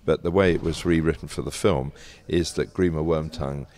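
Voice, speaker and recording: A man's voice: extremely low pitch, steady tone, a little fast, a little mellow. An older British man talking conversationally as an interview guest, telling anecdotes in a discursive, self-correcting way. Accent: British English. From Britain.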